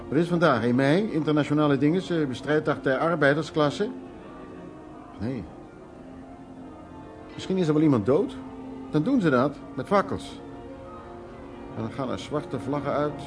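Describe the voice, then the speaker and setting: A man's voice: a little high, expressive, normal speed, long pauses, soft background music, lightly acted. An actor playing a troubled, wry and anxious man in a radio drama, musing aloud in hesitant, broken phrases. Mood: anxious, haunted. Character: troubled man named Louis, recounting past, anxious, wry. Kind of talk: radio drama acting, intimate storytelling.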